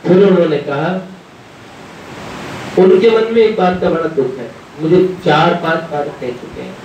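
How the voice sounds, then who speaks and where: A heavily reverberant recording, picked up from a distance with some rumbling noise, of a man giving a devotional talk. He speaks as a spiritual teacher in an informal, conversational style. He wanders through anecdotes and voices bits of dialogue as he goes. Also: moderate pace, dull audio. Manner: conversational, rambling storyteller, often quoting dialogue. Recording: very echoey room, distant mic, rumbling noise.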